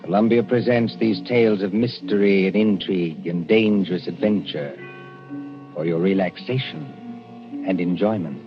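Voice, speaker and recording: A man announcing formally on 1940s radio, with a measured, dramatic and deliberate delivery. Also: low-pitched, over music. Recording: muffled 1940s radio broadcast.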